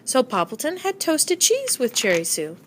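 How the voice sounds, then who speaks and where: A woman narrating a children's storybook aloud with expressive storytelling delivery. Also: high-pitched, moderate pace, slight background noise. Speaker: storybook reader.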